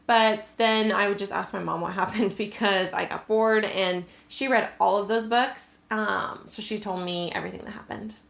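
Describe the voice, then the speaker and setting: Chatty American woman with a gravelly voice. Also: medium pitch, fairly even intonation, moderate pace, hardly any pauses, a bit bright, reverberant. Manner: chatty, many "like" and "um" fillers. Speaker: vlogger. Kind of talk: casual Q&A to camera.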